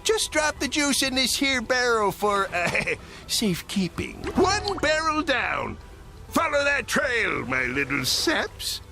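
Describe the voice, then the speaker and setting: Shifty greedy frontier swindler, cartoon game; forceful gravelly drawl. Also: male voice, very high-pitched, huge pitch swings, slow pace, faint music behind, exaggerated voice. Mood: sly, bossy. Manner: folksy Wild West drawl, sly slips.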